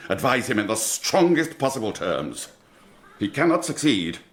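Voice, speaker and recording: An actor playing a suave, courtly gentleman villain in an audio drama, florid and theatrical, with menace under the courtesy. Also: medium pitch, sing-song, normal speed, lightly acted. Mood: veiled threat.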